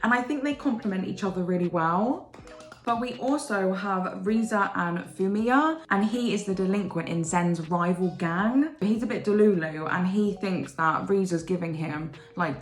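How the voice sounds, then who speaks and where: A woman vlogger chatting casually to camera in a bubbly, gushing way, her voice a little croaky from the morning. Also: medium pitch. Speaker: book vlogger. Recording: roomy room with faint music.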